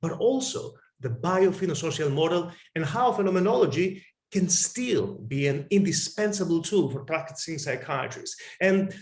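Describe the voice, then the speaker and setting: A man with a Greek accent giving witty, joking closing remarks as co-chair of an online conference. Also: high voice. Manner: witty, playful, joking asides. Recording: roomy echoey video-call audio.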